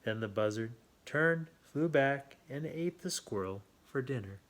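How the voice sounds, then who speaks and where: A man reading a children's story aloud with playful, drawn-out emphasis and chatty asides. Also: mid-range voice, lively intonation, fairly bright tone. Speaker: children's story reader and host.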